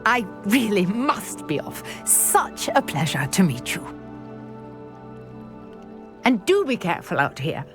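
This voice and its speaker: A woman's voice: medium pitch, very animated, slow pace, long pauses, a bit bright, faint music behind, exaggerated voice. A woman voicing an eager, chatty witch archaeologist in a video game, prone to exclaiming in wonder. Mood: brisk, friendly. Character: excitable scholarly witch, archaeologist obsessed with Merlin.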